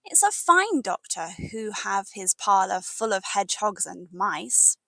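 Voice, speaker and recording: A woman's voice: medium pitch, sing-song, a little slow, vocal fry, pushed and bright, dry recording, exaggerated voice. A British woman voicing a doctor's exasperated, scolding sister in a storybook, with indignant, emphatic nagging.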